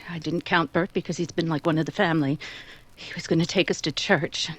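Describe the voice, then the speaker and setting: Husky, composed young woman played by an actress. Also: sits low, steady tone, a little fast. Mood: composed, bitter. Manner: terse, controlled. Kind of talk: old-time radio acting.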